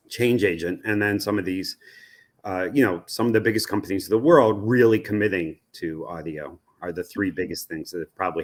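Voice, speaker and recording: An American man giving explanatory interview answers as an expert guest, measured and often halting to rephrase himself. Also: low-pitched. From United States. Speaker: interview guest, research company president.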